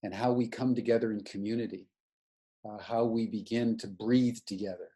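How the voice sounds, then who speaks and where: A man's voice: fairly low pitch, fairly even intonation, normal speed, dark tone. A man giving an earnest, searching spiritual lecture over a video call, often restarting his sentences. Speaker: webinar lecturer.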